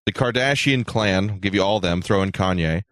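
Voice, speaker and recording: A man with a lowish voice jokes around as a show co-host. His talk is casual and conversational. The sound is close and dry. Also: moderate pace, a bit bright, no room sound. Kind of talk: casual comedy banter.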